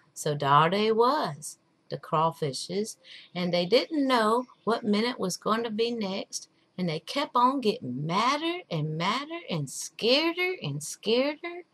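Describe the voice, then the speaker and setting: A woman's voice: on the high side, a bit bright, heavily acted. A woman voicing a patient, wry old man telling a folktale in drawling, folksy dialect.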